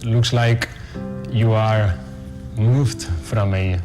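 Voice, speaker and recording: A man from Barcelona, giving a halting video testimonial as a program participant. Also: fairly low pitch, fairly even intonation. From Barcelona, Spain. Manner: halting, searching for words. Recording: voice over background music.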